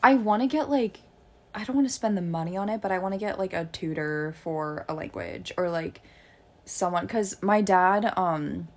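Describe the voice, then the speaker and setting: A woman's voice: fairly low pitch, moderate pace. A young American woman chatting casually as a co-host, informal and peppering her sentences with "like". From United States. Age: young adult. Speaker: podcast co-host. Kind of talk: casual chat.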